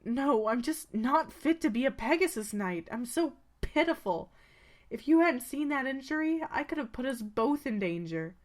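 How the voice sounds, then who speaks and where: A woman playing a friendly young female pegasus knight in a game fan dub, with a gentle, familiar way of talking. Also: high voice, lively intonation, normal speed. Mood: downcast, grateful. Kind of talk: acted game dialogue.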